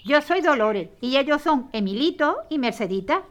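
A woman's voice: high voice, expressive, normal speed, fairly bright tone, slight background noise. A woman playing a bold, protective working-class mother in a historical audio drama, blunt and colloquial. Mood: friendly, matter-of-fact.

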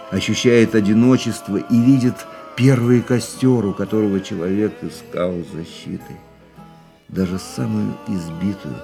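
A male actor playing a world-weary man in a radio play, musing tenderly on the woman he loves in a measured, reflective monologue. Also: low voice, gravelly, warm tone. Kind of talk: literary first-person monologue in a radio play. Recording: music under the voice.

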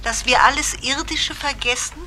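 Very bright-voiced, calming dead mother-in-law. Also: high-pitched, moderate pace, slight background noise, rough recording. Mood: solemn, earnest. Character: dead mother-in-law, older woman, gently calming and resigned. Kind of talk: theatrical radio drama acting.

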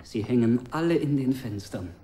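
An actor playing a reserved, brooding, weary young man in a German radio drama, speaking sparely and deliberately. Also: mid-range voice, lively intonation, warm tone, a little hiss or hum, close to an everyday voice. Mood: sombre, brooding.

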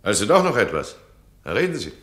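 A domineering duke in an old radio play, bright and forceful, his voice climbing and falling with temper as he scolds a subordinate. The room around him rings with a roomy echo. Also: fairly low pitch, wildly swooping pitch, quick pace. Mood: mocking. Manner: commanding and scornful, swings from mocking to furious. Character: imperious, hot-tempered male duke, absolute ruler. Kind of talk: radio drama acting.

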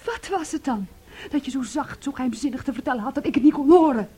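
An actress in a Dutch radio play playing a bitter, possessive, jealous sister with an intense, accusing manner. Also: female voice, sits high, fast, dark tone, lightly acted. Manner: intense, accusing, shifting between whispers and outbursts. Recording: noisy old radio play recording.

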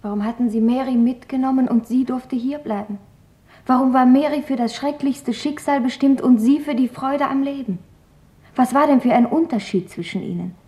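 Actress as a mellow, earnest teenage girl diarist. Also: high voice, normal speed, natural voice. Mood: anguished, sorrowful. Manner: questioning, reflective reading of her own writing. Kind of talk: German radio drama, literary monologue.

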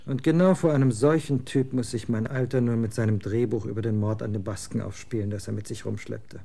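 A scornful young rocker son of twenty, blunt slang, gravelly dark voice; radio play. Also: male voice, medium pitch. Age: 20s. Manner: slangy, scornful, quick to get excited. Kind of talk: German radio drama acting.

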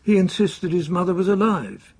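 An actor with a British accent playing an earnest, proper official in a radio drama, brisk and formal in manner. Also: male voice, sits high, animated, a little mellow, slightly rough, full character voice. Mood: earnest, reporting. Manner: brisk, formal, old-fashioned British exclamations.

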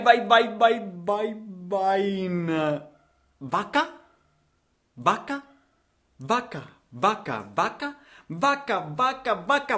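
An actor playing an impassioned male traveller in a radio theatre monologue, telling his journey theatrically with insistent repetition. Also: sits high. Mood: frantic, excited. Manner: theatrical, insistent repetitions, swings from hushed to shouting. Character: impassioned male traveller recounting a trip across the savanna. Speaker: actor, storyteller.

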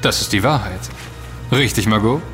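An actor playing a self-assured, intense investigator in a German audio drama, speaking emphatically and accusingly, with dramatic delivery. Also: male voice, lowish voice, moderate pace, fairly bright tone, close to an everyday voice. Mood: grim, accusing. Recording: long reverb with music underneath.

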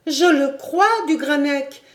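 A woman's voice: sits high, fairly even intonation, slow pace, voice pushed up. A woman voicing an anxious young noblewoman who pleads urgently, in a storybook reading. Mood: pleading. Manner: urgent, imploring.

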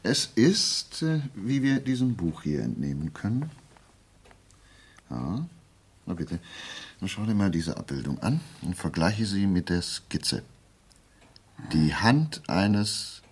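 An actor playing a brilliant, self-assured detective in a German radio play, explaining deliberately and prodding with rhetorical questions. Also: male voice, deep voice, very animated, breathy edge, heavily acted. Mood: assured, explanatory. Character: brilliant, methodical male amateur detective, self-assured and theatrical.

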